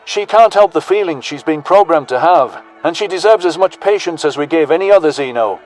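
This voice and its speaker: Give me a man acting a brash but protective soldier in a sci-fi audio story. He talks in blunt, folksy slang with a fairly high male voice, music faint behind. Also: expressive, brisk, natural voice. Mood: firm.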